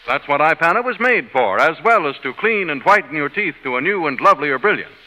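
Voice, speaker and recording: In a long reverb, a stern, earnest judge for an old radio advertisement. The voice is forceful, very bright and somewhat high, with declamatory delivery. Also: male voice, expressive, brisk. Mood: earnest, selling. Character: stern courtroom judge turned earnest toothpaste pitchman. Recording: reverberant 1930s radio broadcast.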